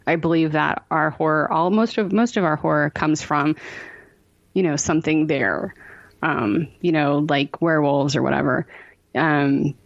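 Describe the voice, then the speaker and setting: A woman's voice: low-pitched, a little slow, no room sound, highs cut off. A woman guesting on a podcast, chatting casually and effusively, repeating herself for emphasis.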